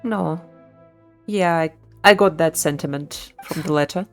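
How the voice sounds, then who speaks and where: Casual game streamer, woman, slightly low voice, reactive, thinking aloud, game music behind. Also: unhurried. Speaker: livestreamer.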